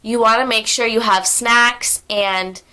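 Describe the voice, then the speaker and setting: A woman's voice: on the high side, steady tone, unhurried, pushed and bright, clean tone, rough recording. A young woman vlogger talking casually to camera at home, stuffy-nosed from a cold, chatty and full of fillers. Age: young adult. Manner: chatty, filler-heavy, tangents. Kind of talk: casual sit-down vlog talk. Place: indoors at home.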